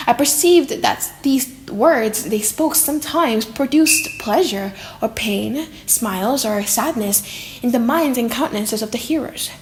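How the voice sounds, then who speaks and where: A woman voicing a lonely, tender monster in a dramatic, slightly silly audiobook reading, theatrical and emotive with drawn-out words. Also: fairly high pitch, slight background noise, natural voice. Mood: fascinated. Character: lonely, tender, wretched monster, eager to learn. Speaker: audiobook narrator.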